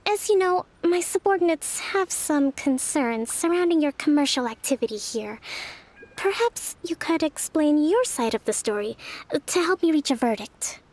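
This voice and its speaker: Game role: gentle but firm young leader, high voice, measured formal delivery. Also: female voice, animated. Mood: calm, firm.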